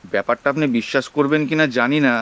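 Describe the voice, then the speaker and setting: Male actor as young delivery rider, twenties, smooth clear voice, polite, pleading, earnest, audio drama. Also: mid-range voice, fast, fairly bright tone, natural voice. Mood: hesitant, earnest. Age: 20s.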